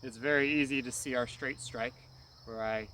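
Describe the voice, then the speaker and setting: A man's voice: medium pitch, fairly even intonation, moderate pace, sharp tone, a little hiss or hum, rough recording. A man giving hands-on martial arts instruction in short, clipped phrases, often adding brief check-in tags. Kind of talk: hands-on technique demonstration, instructional.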